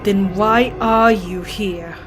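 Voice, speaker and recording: A woman playing a resolute young Victorian gentlewoman in an audio drama, deliberate and dramatic. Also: medium pitch, steady tone, soft background music, lightly acted. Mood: frightened defiance.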